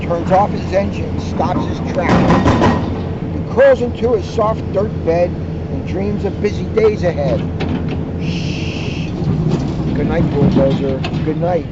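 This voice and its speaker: A police officer reading aloud and chatting outdoors at a construction site. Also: slow. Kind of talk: picture-book read-aloud and casual chat. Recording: muffled, loud outdoor machinery noise. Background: heavy machinery rumbling.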